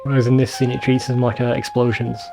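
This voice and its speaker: A man's voice: mid-range voice, level tone, quick pace, creaky, over music. A man giving casual, sweary commentary over a video game in an informal, bemused way. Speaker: let's play video host.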